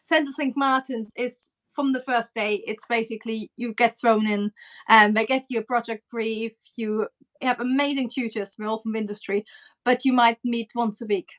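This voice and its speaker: High-voiced German woman chatting. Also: fairly even intonation, normal speed. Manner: chatty, many fillers and restarts. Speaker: pattern cutting teacher. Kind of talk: casual live-stream chat.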